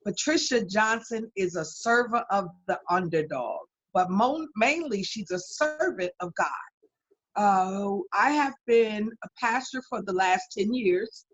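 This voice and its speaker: A woman's voice: mid-range voice, expressive, a little slow, no room sound, muffled recording. A woman pastor and life coach introducing herself as a guest, speaking in an emphatic, preacherly way with repeated lists. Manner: preacherly and emphatic, with list-like repetition. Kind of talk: guest self-introduction on an online talk show.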